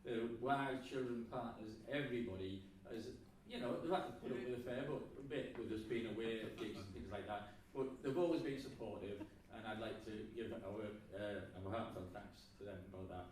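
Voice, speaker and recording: A middle-aged man gives an informal thank-you speech with halting, hesitant phrasing. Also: fairly low pitch, darkish tone. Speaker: award recipient giving thanks. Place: large indoor festival venue, live audience. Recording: echoey hall, distant mic, audience noise.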